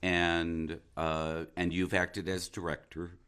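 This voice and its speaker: Male interview guest, extremely deep voice. Stage actor reflecting on his craft, pausing to find words. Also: flat delivery, fairly bright tone, clean tone. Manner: thoughtful, halting, searching for words.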